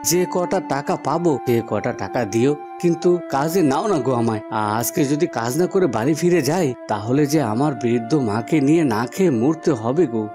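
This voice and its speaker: A man's voice: fairly high pitch, lightly acted. A man voicing a poor young village son in a cartoon, grumbling yet dutiful and earnest. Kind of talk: cartoon voice acting. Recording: music behind the voice.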